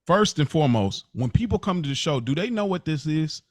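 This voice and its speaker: A man's voice: fairly high pitch, animated, a little fast, no room sound. Man on a soapbox, dry close sound. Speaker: podcast co-host. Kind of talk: casual opinionated podcast discussion.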